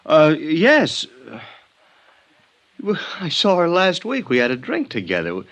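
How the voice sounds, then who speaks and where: A male actor playing a stammering, shaken suburban ex-husband in a 1950s radio drama, speaking haltingly. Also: on the high side, wildly swooping pitch, a bit bright, heavily acted. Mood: shocked, disbelieving. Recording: dull vintage radio recording.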